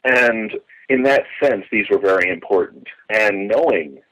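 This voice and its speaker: A man's voice: fairly low pitch, measured pace, a bit bright. A middle-aged man giving an enthusiastic, detailed, digressive account in a conversational interview, as a longtime fan reminiscing. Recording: dull lo-fi phone or call audio.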